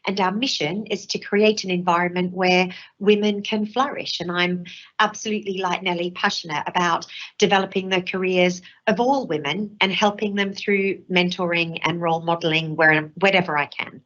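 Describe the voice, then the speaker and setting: A woman from Australia, a managing director answering interview questions in a confident, articulate, measured way. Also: on the low side, steady tone, a bit bright. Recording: dull lo-fi audio.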